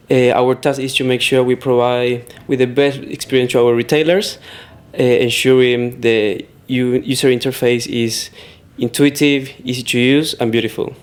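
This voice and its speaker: A man in his thirties from Argentina with an Argentine Spanish accent, answering interview questions about his work in a steady, measured way into a handheld mic in an office lounge. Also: mid-range voice. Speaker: interviewed software engineer. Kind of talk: casual interview. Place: office lounge with wood-panelled wall. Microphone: handheld mic held out by interviewer.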